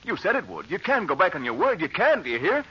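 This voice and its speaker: An actor playing a desperate, agitated husband in a 1940s radio drama, overwrought and insistent, on a muffled old radio recording. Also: male voice, high voice, sing-song, quick pace, slightly rough, heavily acted. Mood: alarmed, demanding. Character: desperate, agitated husband pleading for his sick wife.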